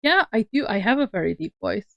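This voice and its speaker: A woman streamer chatting on a call, soft-spoken and laughing often. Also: medium pitch, sing-song, fairly quick, creaky, no room sound, highs cut off. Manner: giggly, laughs often, speaks softly. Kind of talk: casual livestream chat on a call.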